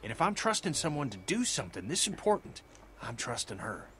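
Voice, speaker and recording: A gruff, stubborn middle-aged father in a video game. His mid-pitched voice has a tense, forceful edge and carries grief and weariness. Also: sing-song, a little fast, a little hiss or hum. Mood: firm, insistent.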